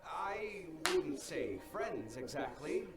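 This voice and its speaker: Dramatic flustered young anime game scholar, very animated, kinda echoey. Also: male voice, on the high side, slow, creaky, recorded from a distance, loud music behind the voice, exaggerated voice. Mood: sheepish. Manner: theatrical, fretful.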